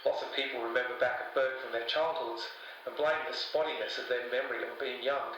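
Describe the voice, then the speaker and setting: An actor playing an eccentric older webmaster in a podcast drama, matey and rambling in clipped fragments. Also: male voice, mid-range voice, fairly even intonation, a little fast, a bit bright, close to an everyday voice. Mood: earnest, reminiscing. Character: eccentric older male conspiracy-minded webmaster, rambling and friendly. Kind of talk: audio drama podcast interview. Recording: distant, echoey room with faint noise.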